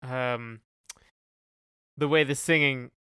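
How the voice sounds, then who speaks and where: A man's voice: mid-range voice, fairly even intonation, slow pace, sharp tone, smooth, no room sound. A man co-hosting a podcast, chatting casually about music and explaining things in a loose, hesitant way. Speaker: podcast co-host. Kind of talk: casual music discussion chat.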